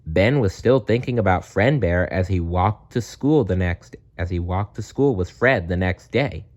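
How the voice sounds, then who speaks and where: A man's voice: low voice, normal speed, darkish tone, highs cut off. A man reading a children's story aloud as a storyteller.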